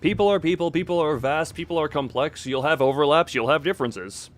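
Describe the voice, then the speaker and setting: A man livestreaming a game, chatting casually in a halting, rambling way as he thinks aloud. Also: fairly high pitch. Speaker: livestream host. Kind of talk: casual chat while playing a horror game live. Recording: clear background noise.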